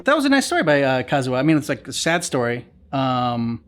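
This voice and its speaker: Man casually commenting, halting and informal. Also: medium pitch, big pitch swings, over music. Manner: halting, thinking aloud, informal. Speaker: reaction channel host. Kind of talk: casual commentary chat on a video game.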